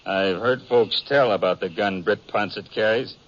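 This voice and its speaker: Young western bounty seeker: eager, shifty, folksy and hesitant, on a dull old radio recording. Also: male voice, lowish voice, normal speed, a bit bright. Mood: suspicious. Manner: hesitant, folksy rural talk. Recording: muffled vintage radio recording.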